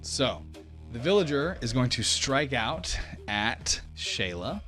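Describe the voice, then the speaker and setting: A man's voice: medium pitch, very animated, slow pace, bright, forceful tone. Male game master narrating over music. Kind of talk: narrating combat in a tabletop game. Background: background music.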